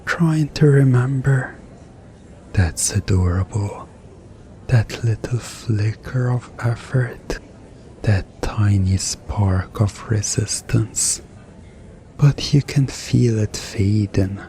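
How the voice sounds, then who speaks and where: A man playing a mischievous, smugly commanding hypnotist in an ASMR roleplay, soothing and teasing with deliberate pauses. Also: fairly low pitch, warm tone, a little hiss or hum. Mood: teasing, hypnotic.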